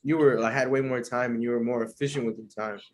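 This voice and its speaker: A man's voice: mid-range voice, steady tone, fast. A young man hosting a casual interview, speaking informally and encouragingly, with plenty of filler words. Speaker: podcast host, interviewer.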